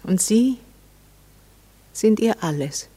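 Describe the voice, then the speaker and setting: A married lady of good society in a radio drama. Her voice is breathy and husky, in a middle register for a woman. She is wistful and curious, probing gently and often hesitating with genteel restraint. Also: medium pitch, animated, slow. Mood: soft, wistful. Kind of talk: intimate radio play dialogue.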